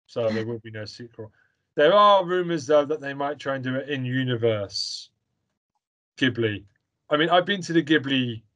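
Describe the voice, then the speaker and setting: A young man talks loosely and at length, stacking fillers and restarting phrases as he goes. His pitch sits in an ordinary middle range for a man, and he holds strong opinions with a chatty, unpolished delivery. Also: lively intonation, normal speed, fairly bright tone. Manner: opinionated and rambling, with many fillers and repeats. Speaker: podcast co-host. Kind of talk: casual chat reviewing a film.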